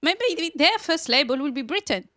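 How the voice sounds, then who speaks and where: A woman from France speaks in a fairly high voice, laying out her point step by step. The sound is close and dry, with no room ring. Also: lively intonation, pushed and bright, dry recording. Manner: careful, measured explaining. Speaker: audience member. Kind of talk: studio discussion.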